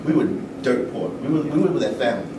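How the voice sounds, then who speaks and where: Mid-pitched man sharing, roomy noisy recording. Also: animated, brisk. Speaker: panel speaker. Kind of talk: personal account in informal discussion. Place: large room at a live event. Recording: roomy, distant mic, clear background noise.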